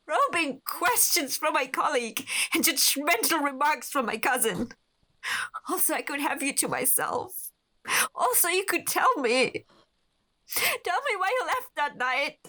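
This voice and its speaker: A woman playing a possessive, jealous lover in a horror radio drama, with a condescending, deliberate, theatrical delivery. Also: high voice, pushed and bright, heavily acted. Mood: desperate, angry.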